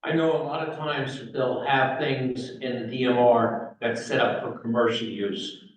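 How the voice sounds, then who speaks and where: A man's voice: medium pitch, steady tone, unhurried. A man making an informal technical comment as a club member in the audience. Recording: very echoey room, distant, muffled.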